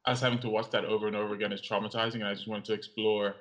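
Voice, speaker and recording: A young man from Cameroon, a guest in an interview, speaking in a measured, reflective way with some pauses. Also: fairly low pitch, flat delivery, a bit bright. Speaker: guest, audiovisual artist and writer. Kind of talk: interview conversation. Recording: lo-fi, slightly dull sound.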